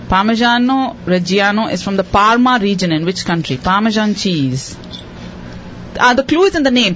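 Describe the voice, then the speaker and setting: A woman's voice: a little high, a bit bright, muffled recording, a little hiss or hum. Encouraging woman hosting a kids' quiz. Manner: encouraging, repeats each question slowly. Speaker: quiz show host. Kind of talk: hosting a radio quiz for kids.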